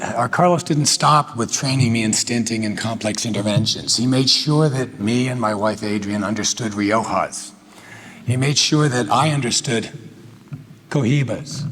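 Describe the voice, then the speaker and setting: A man's voice: medium pitch, fairly bright tone, slightly rough. Older American man in a reverberant hall. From United States. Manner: humorous, self-deprecating, hesitant with many uh fillers. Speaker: cardiologist giving a tribute speech. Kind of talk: anecdotal tribute speech. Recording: long hall reverb, faint noise.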